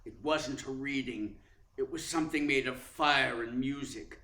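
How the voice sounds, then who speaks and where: An actor playing a suave, pompous theatre critic in a radio play, articulate and slyly needling. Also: male voice, mid-range voice, measured pace, bright, forceful tone. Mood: grandiloquent. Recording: roomy, echoing sound.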